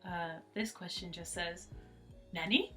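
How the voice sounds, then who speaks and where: A woman's voice: lowish voice, unhurried, a bit bright, soft background music. A woman reading out questions as a co-host, with a casual, teasing and informal way of talking. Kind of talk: casual playful banter.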